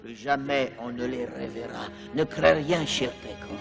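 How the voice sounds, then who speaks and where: A man's voice: medium pitch, unhurried, gravelly. A man playing a poor, good-hearted, secretive water carrier in a French cartoon dub. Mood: righteous, tender. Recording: loud music behind the voice.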